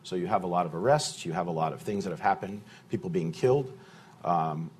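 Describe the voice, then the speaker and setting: A man speaking as a panelist in a discussion, answering questions in a measured, thoughtful way with fillers and restarts. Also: low-pitched, a little mellow, slight background noise.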